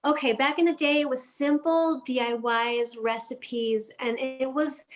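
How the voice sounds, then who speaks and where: A woman who grew up in Denmark speaks as a guest decorator in a relaxed interview. Her voice sits on the higher side, and she chats in a friendly way, stopping for ums and small restarts as she goes. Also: unhurried. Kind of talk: casual interview chat.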